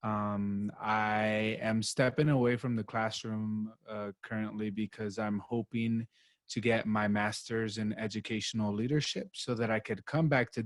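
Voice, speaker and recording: A man's voice: on the low side, no echo. A man, raised in California, introducing himself casually as a guest educator. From born in Chile, raised in San Diego, California.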